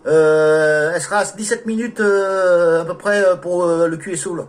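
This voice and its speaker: A man's voice: high-pitched, steady tone, moderate pace. A man from France chatting casually as an amateur radio operator, with courteous sign-offs. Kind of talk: casual radio contact chat.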